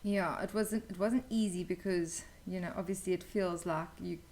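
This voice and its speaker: A woman's voice: on the low side, steady tone, normal speed, darkish tone. A young woman telling a personal story as an interview guest, casually and candidly, with some hesitation. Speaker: interview guest, new mother.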